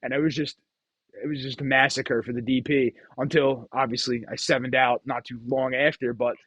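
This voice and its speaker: A man's voice: mid-range voice, level tone, moderate pace, a bit bright, no echo. A man chatting casually and telling gambling stories as the host of the show. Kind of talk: casual chat, storytelling about craps.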